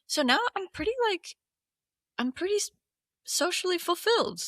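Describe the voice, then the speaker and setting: A woman's voice: very high-pitched, lively intonation, moderate pace, fairly bright tone, no echo. A woman in her thirties from Hong Kong co-hosting a podcast, chatting casually with lots of fillers. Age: 30s. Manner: chatty, many fillers, laughs often. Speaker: podcast co-host. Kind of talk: casual chat.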